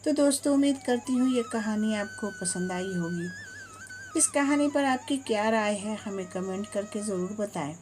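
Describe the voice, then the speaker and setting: A woman's voice: medium pitch, expressive, moderate pace, noisy background. A woman storyteller reading a scripted moral tale as host of a story channel.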